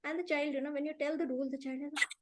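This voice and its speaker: A middle-aged woman with an Indian accent gives conversational advice in a live online class, speaking in an emphatic, animated and opinionated way. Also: high voice, rapid-fire, noisy background. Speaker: reading teacher and parenting expert. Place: online video call.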